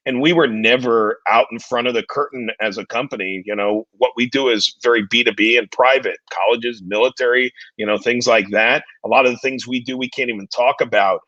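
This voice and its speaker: A man in his forties with a deep, distinguished voice, talking as a guest in a casual interview and often repeating words as he starts his sentences. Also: fairly even intonation, a little fast, hardly any pauses, pushed and bright, no echo. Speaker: guest, entertainment company CEO. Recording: dull lo-fi dry sound.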